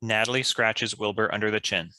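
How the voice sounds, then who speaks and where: Bright, dry-sounding male script narrator. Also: on the low side, fairly even intonation, brisk, clear, resonant tone, dry recording. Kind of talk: scripted narration of screenplay action.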